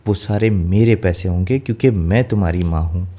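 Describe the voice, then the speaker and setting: A man's voice: low-pitched, fairly quick, warm tone. A man voicing a cruel, greedy stepmother in a fairy tale, speaking in a commanding and scornful way.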